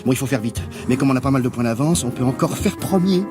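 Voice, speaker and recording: A man acting out an irritable, cocky businessman in a radio drama. His voice sits at a middling pitch and swings widely as he grumbles and swears in colloquial style, with some music in the recording. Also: animated, fast, lightly acted. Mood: eager, sly. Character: irritable, cocky married businessman, impatient boss and sore loser.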